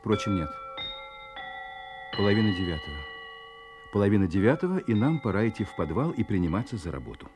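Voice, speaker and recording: An actor in a Russian audio drama playing a controlling husband, patronizing, insistent and menacing. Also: male voice, lowish voice, sing-song, brisk, long pauses, over music. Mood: cold, watchful.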